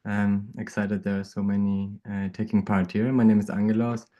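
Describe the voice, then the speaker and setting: A man from Greece who grew up in Germany, introducing himself on a video call in a halting way with many fillers. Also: lowish voice, completely flat delivery, dark tone. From Greece, raised in Germany. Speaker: volunteer coordinator. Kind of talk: casual self-introduction. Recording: dull lo-fi call audio.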